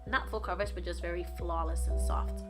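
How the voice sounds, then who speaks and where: A young woman with a hoarse, raspy voice, chatting casually through a makeup tutorial. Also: lowish voice, fairly even intonation, fairly quick. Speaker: beauty vlogger. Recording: voice-over with loud background music.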